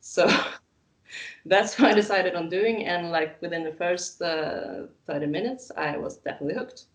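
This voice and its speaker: A woman guest wrestler telling stories in a casual interview, animated and laughing often, with frequent "um" pauses. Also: on the low side. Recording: roomy echo.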